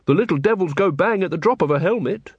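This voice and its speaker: A man's voice: fairly high pitch, animated, moderate pace, fairly bright tone, dry recording, highs cut off. Man playing an earnest, loyal young corporal. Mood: loyal, eager. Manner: earnest, deferential.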